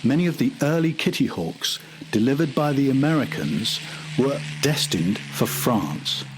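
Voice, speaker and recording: A man's voice: mid-range voice, expressive, a little slow, background noise. An older man narrating a documentary from a script.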